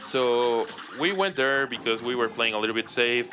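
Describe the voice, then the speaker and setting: A man's voice: medium pitch, moderate pace. Mexican man calling a speedrun. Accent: Mexican Spanish. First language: Spanish. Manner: relaxed, chatty, laughs often, reads chat aloud. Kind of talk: live speedrun commentary. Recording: dull lo-fi stream audio with game music. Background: game music.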